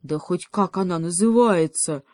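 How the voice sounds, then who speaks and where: A woman voicing a boastful, bullying young male wolf cub in a children's story, loud and exclaiming. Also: lowish voice, unhurried, no room sound, studio quality, close to an everyday voice. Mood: alarmed, irritated.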